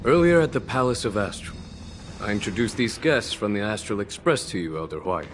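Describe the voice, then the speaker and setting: Man acting a composed general, video game character, low pitch, animated melody, polite, diplomatic, formal, light background music. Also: a little fast, close to an everyday voice. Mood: courteous, composed. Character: courteous, composed male general, diplomatic and tactful.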